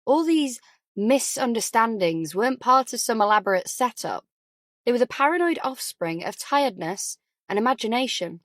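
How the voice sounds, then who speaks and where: A woman's voice: a little high, expressive, normal speed, a bit bright, dry recording, studio quality. A woman reading scripted fiction as an audiobook narrator.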